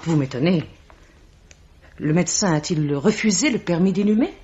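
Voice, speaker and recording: Actress as a haughty, ominous low-voiced mystic. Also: female voice, animated, moderate pace, warm tone, slight background noise, natural voice. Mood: haughty, defensive. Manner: solemn, theatrical, contemptuous. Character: haughty mystical bookseller woman, accusing and ominous. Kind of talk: French 1950s-style radio mystery acting. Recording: dull vintage radio-drama recording.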